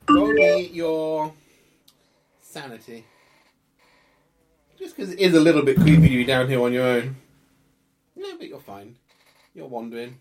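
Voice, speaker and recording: A man narrating a tabletop horror game as game master, improvising in a conversational, halting way and thinking aloud. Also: a little high.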